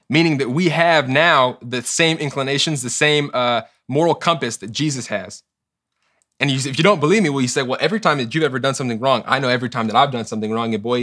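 A man's voice: mid-range voice, a bit bright. A young man in his twenties giving an earnest, conversational sermon to camera in a small studio, often correcting himself and voicing his listeners' questions. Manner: earnest, quick, self-correcting, asks imagined questions. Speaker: church group leader giving a short teaching.

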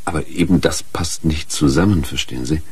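An actor playing a patient but insistent doctor in a radio crime drama, polite, probing, with a gravelly, husky voice. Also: male voice, very deep voice, brisk, a little mellow, dry recording, full character voice. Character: patient, insistent male doctor questioning a wife.

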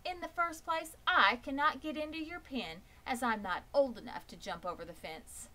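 A woman's voice: high-pitched, moderate pace, sharp tone, voice pushed up. A woman reading aloud and voicing a snooty young lamb who answers primly and dismissively. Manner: prim, dismissive.

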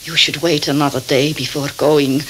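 An actress playing a solicitous, foreboding woman innkeeper with an Eastern European accent in a radio drama, halting and deferential. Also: low voice, level tone, fairly bright tone, low-quality recording. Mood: grave warning. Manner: halting, tag questions, deferential. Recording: hissy 1979 cassette tape.

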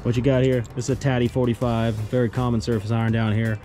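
A young man, casually showing his fishing tackle in a joking, loud, animated vlog style. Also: medium pitch, fast. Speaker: fishing vlogger. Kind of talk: casual show-and-tell vlog. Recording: music playing under voice. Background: background music.

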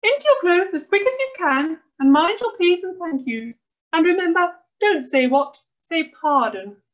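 Lady doing a shrill fussy mum from a kids story, high and flustered, bossing everyone, bit muffled recording. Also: animated, moderate pace. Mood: flustered bossy. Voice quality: squeaky, shrill. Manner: frantic, scolding, exclaiming. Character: excitable, bossy, royal-obsessed mum, squealing and fussing. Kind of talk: children's storybook character voice.

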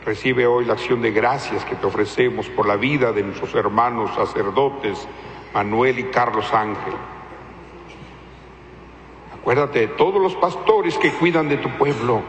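A man's voice: medium pitch, a little slow. Reverent male priest, echoing church. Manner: steady, reverent recitation. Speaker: concelebrating priest. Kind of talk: liturgical prayer at Mass. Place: large church. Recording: roomy church echo, faint noise.

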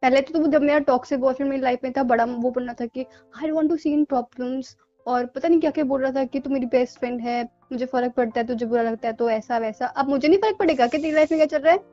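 A woman's voice: high voice, fast talker, few pauses, mellow, natural voice. A young woman playing a jealous, defensive best friend with snappy, sulky denials in a phone-call drama. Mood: hurt, sulky. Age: young adult. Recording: muffled lo-fi audio under music.

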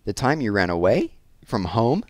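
A man's voice: lowish voice, big pitch swings, normal speed, no echo, pitched above the performer's own voice. A man voicing a proud, earnest young girl, polite but outspoken, in an audiobook story. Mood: curious.

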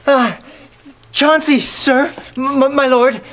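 High-pitched, hapless serving boy. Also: steady tone, slow, fairly bright tone, slight background noise, full character voice. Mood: nervous, meek. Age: young adult. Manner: hesitant, stammering. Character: hapless, timid young serving boy, meek and earnest. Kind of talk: acting in a live-action game cutscene.

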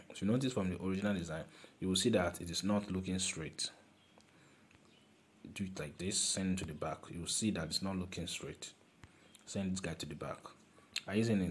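Low-voiced man explaining design steps. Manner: instructive, repeats steps, calls objects "this guy". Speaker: design tutor. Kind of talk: screen-recorded software tutorial.

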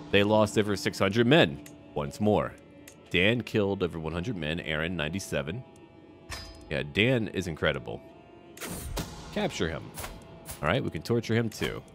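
Low-pitched man narrating a strategy game. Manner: talks to himself and game characters. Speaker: gameplay video host. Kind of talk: casual playthrough commentary on a strategy game. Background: music.

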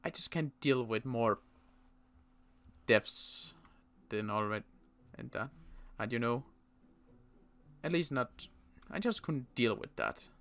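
A young man playing a protective survivor in an in-character roleplay, talking in a halting way with frequent restarts. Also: mid-range voice, a bit bright. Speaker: roleplay series player.

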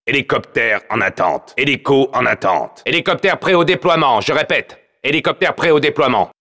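A commanding male soldier announces for a military video game. His voice is bright and forceful, swinging widely in pitch. He keeps to short, clipped, authoritative callouts with battle-hardened urgency. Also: expressive, normal speed. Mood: commanding, focused. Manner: terse, authoritative, clipped phrasing.

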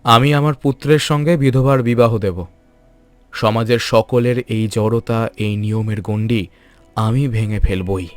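A man voicing a principled, kindly scholar and reformer in a cartoon, speaking in an earnest, measured, resolute way. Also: fairly low pitch, steady tone, soft background music, close to an everyday voice. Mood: determined. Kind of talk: dramatic cartoon voice acting.

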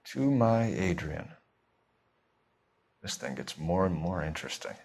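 An American man playing a sharp, businesslike 1940s police detective in a video game, speaking in clipped, matter-of-fact remarks. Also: deep voice, sing-song, a little slow, creaky, slightly rough, heavily acted. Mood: musing, inquisitive.

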